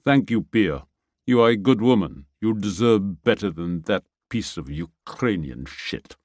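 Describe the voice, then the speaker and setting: A man doing a gruff Russian soldier. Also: lowish voice, expressive, a little mellow, no room sound, clean studio sound. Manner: slow, clipped broken English.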